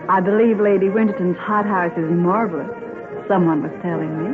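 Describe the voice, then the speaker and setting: Casting: actress for bold society temptress, teasing and assured, old radio sound over prominent music. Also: female voice, mid-range voice, fairly even intonation, fairly quick, narrow, tinny audio, natural voice. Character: bold, flirtatious society woman, worldly seductress. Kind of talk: old-time radio drama acting.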